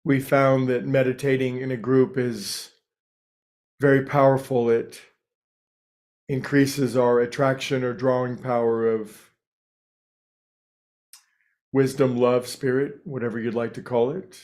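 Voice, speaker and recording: A man leading a guided meditation, speaking calmly and without hurry, with pauses between short phrases. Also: medium pitch. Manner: calm, unhurried, pausing between short phrases. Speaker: meditation teacher and host.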